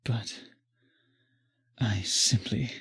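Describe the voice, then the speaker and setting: A very husky, breathy vampire played by a man in an intimate roleplay audio. He speaks slowly and formally in florid phrases, courtly yet obsessive, beseeching the listener with possessive devotion. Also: lowish voice, fairly even intonation, a bit bright, heavily acted. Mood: possessive, uneasy.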